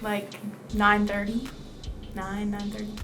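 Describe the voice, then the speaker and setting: A woman of twenty, a student, answering interview questions casually with fillers and a soft laugh. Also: medium pitch, completely flat delivery, slow pace, a little hiss or hum. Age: 20s.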